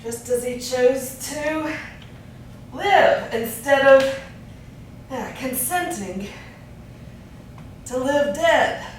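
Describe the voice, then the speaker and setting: A woman acting an anguished ranter in an avant-garde stage monologue, drawing out words and swinging from hushed to forceful. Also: high-pitched. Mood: scornful. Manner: drawn-out, dramatic, swinging between hushed and forceful. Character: anguished female ranter against human existence. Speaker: stage actor. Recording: echoey theatre, distant mic, faint noise.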